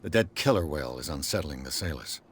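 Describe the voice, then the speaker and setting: An actor playing a terse, gruff private investigator who questions people bluntly in a video game, with a husky voice. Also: male voice, a bass voice, animated, quick pace, slight background noise. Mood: probing. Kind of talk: video game cutscene dialogue.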